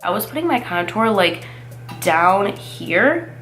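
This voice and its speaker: A woman's voice: medium pitch, big pitch swings, slow pace, fairly bright tone, faint music behind. Chatty young woman, nasal from a cold. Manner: chatty, upbeat, lots of "like" fillers. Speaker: beauty vlogger. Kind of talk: casual makeup tutorial talk.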